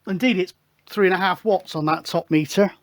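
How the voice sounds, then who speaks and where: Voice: medium pitch, brisk. A hobbyist presenter with a mid-range voice talks through a technical demonstration. The delivery is casual and chatty, like someone working things out aloud at the bench. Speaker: hobbyist radio show host. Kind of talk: informal hands-on technical demonstration.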